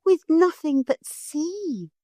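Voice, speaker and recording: A curious young girl in a storybook, eager and full of questions, as a woman puts her on. The voice is lifted well above a plain reading voice, bright with rising lines. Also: high-pitched, animated, takes it slowly, no echo, voice pushed up. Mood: wondering.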